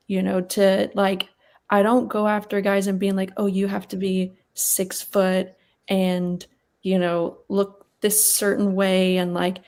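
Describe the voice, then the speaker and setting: Woman in her twenties, from Texas, chatty, mid-pitched voice. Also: vocal fry. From Texas, United States. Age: 20s. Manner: chatty, lots of "like" and "you know". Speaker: panel guest. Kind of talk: casual panel conversation.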